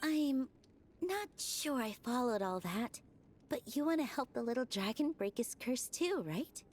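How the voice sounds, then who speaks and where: High-voiced friendly squirrel fairy. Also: female voice, animated, a little slow, a little hiss or hum. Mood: curious. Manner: friendly, childlike, plain-spoken. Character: small friendly flying squirrel fairy, helpful and curious. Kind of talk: video game voice acting.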